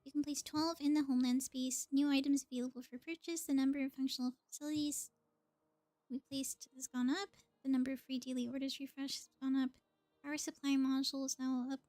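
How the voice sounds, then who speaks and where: A woman's voice: sits high, little pitch movement, a little fast, mellow, no echo. A woman live-streaming a video game, chatting casually and thinking aloud as she plays.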